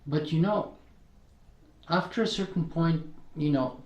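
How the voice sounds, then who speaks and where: A man's voice: on the high side, unhurried, a little mellow, reverberant, dull audio. A man from Bulgaria with a Bulgarian accent, a guest in an interview who talks earnestly and often checks in with "right?" and "you know". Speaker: podcast creator, guest. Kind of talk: casual interview talk.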